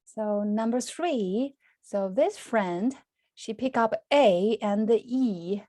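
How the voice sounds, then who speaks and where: A woman leads an online lesson in English. Her voice is dark and mellow, recorded dry and close. She speaks in simple sentences, often restarting phrases and adding small laughs. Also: fairly high pitch, animated, slow, no room sound. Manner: frequent restarts and soft laughs; speaks English as second language, simple grammar. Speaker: Mandarin teacher. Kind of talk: online class talk.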